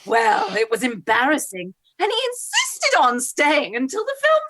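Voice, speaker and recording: A woman's voice: sits high, wildly swooping pitch, a little slow, sharp tone, exaggerated voice, poor audio. A woman playing an indignant, gossipy married village lady who overshares in a comedy radio play.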